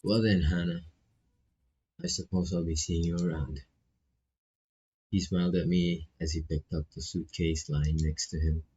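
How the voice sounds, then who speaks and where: A man's voice: low voice, flat delivery, a little slow, pauses often, warm tone, a little hiss or hum. A man who is not a native English speaker reads game text aloud as a streamer, with casual asides and stumbles over phrases. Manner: stumbles and repeats phrases while reading. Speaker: streamer playing a visual novel. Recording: dull, lo-fi microphone sound.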